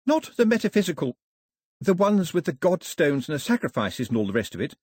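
A woman's voice: sits low, big pitch swings, fairly quick, darkish tone, slightly rough, dry recording, clean studio sound, pitched above the performer's own voice, full character voice. A man voicing an earnest, proper young girl who speaks in a prim, insistent way. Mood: urgent, insistent.

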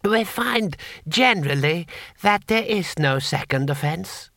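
A man voicing a scheming courtly lord and grand vizier in a measured, deliberate way for an audiobook. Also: high voice, expressive, gravelly, fairly bright tone, dry recording, studio quality, pitched above the performer's own voice, heavily acted. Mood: cold, matter-of-fact. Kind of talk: audiobook character voice.

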